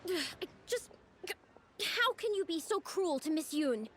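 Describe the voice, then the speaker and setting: Flustered, high-pitched rookie woman reporter. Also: lively intonation, measured pace, slight background noise. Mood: upset. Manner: hesitant, apologetic. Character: flustered, timid young female rookie reporter. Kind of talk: voice-acted game cutscene dialogue.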